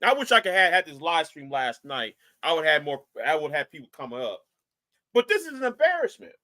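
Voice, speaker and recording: Animated man scolding on a live stream. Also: a little high, brisk. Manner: animated, repetitive, mocking, scolding. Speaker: live stream host reacting to a video. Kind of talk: reaction commentary to a live chat.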